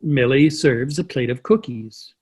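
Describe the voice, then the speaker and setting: A man with a husky voice narrates a play reading. He reads stage directions in a scripted, descriptive way. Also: medium pitch, a little slow, a little mellow. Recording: video call play reading.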